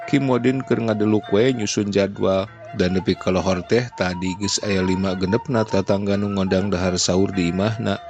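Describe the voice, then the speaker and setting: A man reads a classic folk tale at a steady, measured pace. His voice is low and gravelly with plenty of fry. Music plays clearly underneath. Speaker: storyteller.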